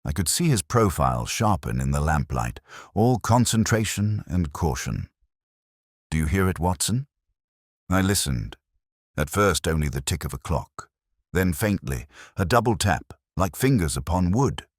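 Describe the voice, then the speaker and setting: A man reading scripted mystery fiction as an audiobook narrator, in a measured, literary, atmospheric way. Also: sits low, very animated, dry recording, studio quality.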